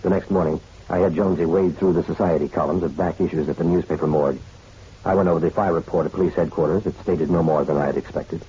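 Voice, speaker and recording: A man's voice: sits low, fairly even intonation, fast, mellow, breathy edge. A man playing a dry, wry private detective in a hardboiled radio drama, speaking in clipped, matter-of-fact lines. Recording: dull radio recording with music behind.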